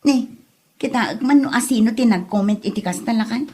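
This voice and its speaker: Woman acting an easygoing Filipino wife, slightly high voice. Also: a little fast, darkish tone, husky. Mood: unbothered. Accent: Ilocano Filipino. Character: easygoing married woman vlogger, unbothered by gossip. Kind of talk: Ilocano audio comedy drama acting.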